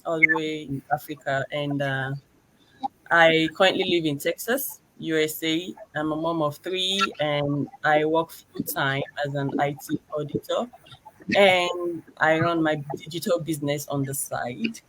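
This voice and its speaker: Friendly woman from nigeria on a video call, bright tense tone, faint hiss. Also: deep voice, a little slow, rough recording. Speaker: guest. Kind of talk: casual live video chat.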